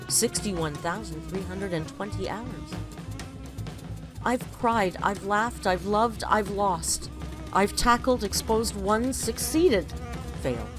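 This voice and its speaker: Slow, theatrical woman reading poetry over music. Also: mid-range voice, no echo. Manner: theatrical, deliberate, grandiose delivery. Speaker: host reading and critiquing a poem. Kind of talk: dramatic poetry reading and literary commentary. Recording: music under the voice. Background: background music.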